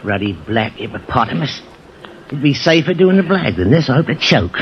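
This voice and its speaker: A man's voice: mid-range voice, big pitch swings, fairly quick. An actor playing a touchy, worrying small-time seaman crook with querulous, slangy speech, in 1950s radio drama style. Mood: worried. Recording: dull, noisy old radio recording.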